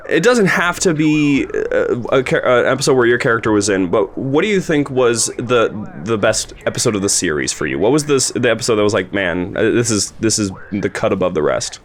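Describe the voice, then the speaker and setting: Low-voiced man hosting a casual chat. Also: animated, brisk, few pauses, slight background noise. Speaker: host and series creator interviewing a voice actor. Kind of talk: casual podcast commentary chat.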